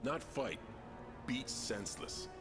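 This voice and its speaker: A man's voice: mid-range voice, takes it slowly, lightly acted. A man playing a brash, crude, cocky vigilante superhero in video game banter, profane and taunting. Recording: game audio with music behind.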